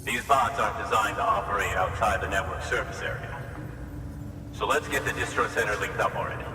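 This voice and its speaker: An actor playing a male engineer who talks in a low-key way, half to himself, in a game cutscene. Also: fairly quick, natural voice. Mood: pleased. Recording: distant echoey hall with loud noise.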